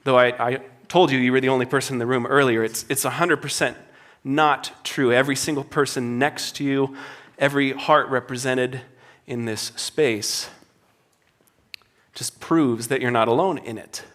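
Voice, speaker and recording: A man with a slightly raspy voice speaks at a conference in a hall full of people. He talks to worship leaders in an earnest, conversational way, and he repeats his phrases for emphasis. His pitch sits in a plain middle range. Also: steady tone, moderate pace, fairly bright tone. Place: conference hall before a live audience.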